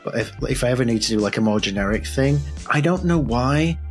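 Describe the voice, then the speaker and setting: A man's voice: mid-range voice, animated, fairly quick, faint music behind. A British man hosting a podcast with casual, playful interview chat. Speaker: podcast host.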